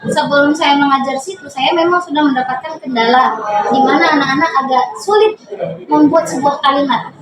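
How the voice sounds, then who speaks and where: Very high-pitched woman, echoey and distant. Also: a little fast. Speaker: English teacher being interviewed. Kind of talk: explanatory interview answers. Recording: echoey room, distant mic, background noise.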